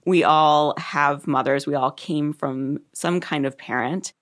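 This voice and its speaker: Deep-voiced woman, thoughtful interview guest. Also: a little slow. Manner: thoughtful, laughs easily. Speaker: podcast host, guest. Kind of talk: conversational interview.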